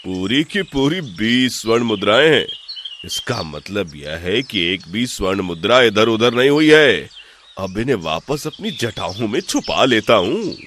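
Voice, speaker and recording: A man playing a shrewd, suspicious village temple priest in an animated folk tale, with a stern, theatrical manner. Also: fairly low pitch, a little slow, slight background noise. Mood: secretive.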